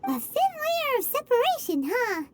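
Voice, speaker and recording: Squeaky, childlike fairy companion. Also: female voice, wildly swooping pitch, normal speed, background noise, heavily acted. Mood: curious. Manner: inquisitive, exclaiming, openly amazed. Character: tiny childlike fairy companion, curious and chirpy. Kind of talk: video game voice acting.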